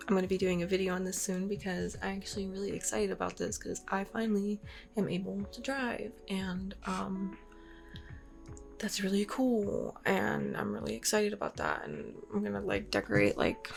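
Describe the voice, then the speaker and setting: Young woman, casual vlog chat, rambling, slight vocal fry, mid pitch. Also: normal speed, a bit bright, faint music behind. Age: 20s. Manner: rambling, lots of fillers, talks openly about herself. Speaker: YouTuber.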